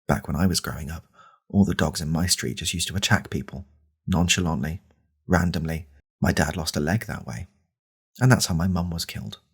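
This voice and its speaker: A man playing an angry, pompous right-wing podcast host in a deadpan parody monologue, with a deliberate, conspiratorial manner. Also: exaggerated voice. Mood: earnest ranting. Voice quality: very deep, gravelly, husky.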